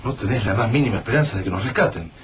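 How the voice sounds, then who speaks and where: A man's voice: fairly low pitch, expressive, very fast, background noise, lightly acted. An actor with an Argentine accent playing a fearful, resolute family man in a radio drama. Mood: worried. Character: Juan, fearful, resolute family man surviving deadly snowfall. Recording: music playing behind the voice.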